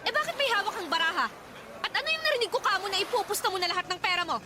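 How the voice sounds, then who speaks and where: Shrill, fierce young mother. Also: animated, a loud crowd. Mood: suspicious, alarmed. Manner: loud, scolding, rapid-fire rhetorical questions. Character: fierce, exasperated young mother scolding her irresponsible partner. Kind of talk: Tagalog radio drama acting. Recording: noisy street ambience with vehicles.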